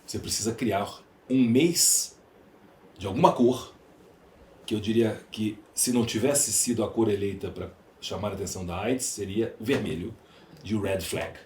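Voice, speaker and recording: A man's voice: on the low side, normal speed, a little mellow. Emphatic Brazilian man in a roomy space. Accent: Brazilian Portuguese. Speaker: podcast guest, entrepreneur. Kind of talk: opinionated interview talk. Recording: roomy echo, faint background noise.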